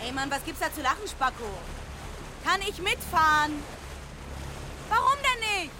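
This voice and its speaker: Brief: stubborn, dreamy teen runaway, pert radio drama delivery, loud engine and water behind. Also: female voice, very high voice, very animated, a little slow, very noisy, full character voice. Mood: shouting, pleading. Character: cheeky, dreamy teenage runaway girl, restless and stubborn. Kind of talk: radio play acting and first-person narration.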